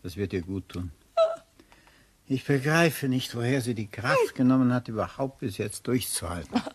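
A man's voice: mid-range voice, lively intonation, moderate pace, breathy edge. A man playing a dignified, formal older family friend in a radio crime drama. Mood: sympathetic, admiring.

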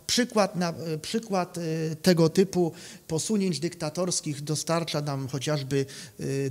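A man giving an academic conference lecture in a halting, repetitive manner, often adding a questioning tag. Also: fairly high pitch, darkish tone. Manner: halting, repeats words, often tags phrases with a questioning check. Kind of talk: conference lecture, partly improvised. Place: conference room, indoors. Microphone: microphone on a stand at a lectern. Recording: reverberant hall sound.

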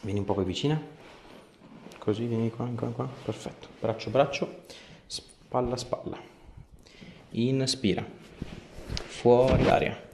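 A man, a chiropractor giving a patient short, gentle, repeated instructions. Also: medium pitch, steady tone, a little slow, gravelly, long pauses, background noise. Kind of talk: instructing a patient during treatment. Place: small indoor treatment room. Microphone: camera a few steps away. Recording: roomy echo, camera across small room.

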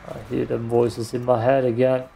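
A man's voice: mid-range voice, completely flat delivery, moderate pace, gravelly, dark tone, slight background noise. A man, a German speaker, joking and self-deprecating as he comments on his gameplay. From Austria. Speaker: let's play host. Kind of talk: casual gaming commentary.

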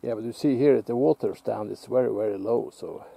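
Norwegian guy rambling by the lake, husky dark voice, stops and restarts a lot. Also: medium pitch. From Norway. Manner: relaxed, musing, halting with restarts. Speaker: outdoor vlogger. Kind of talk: casual self-filmed commentary. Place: lakeside outdoors, off camera.

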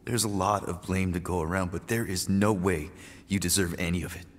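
An actor playing a gentle, awkward man in a video game, speaking haltingly and earnestly to reassure. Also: deep voice, fairly even intonation, lightly acted. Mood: earnest, firm. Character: gentle, awkward man consoling a grieving child. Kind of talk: naturalistic video game cutscene acting. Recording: long reverb, clear background noise.

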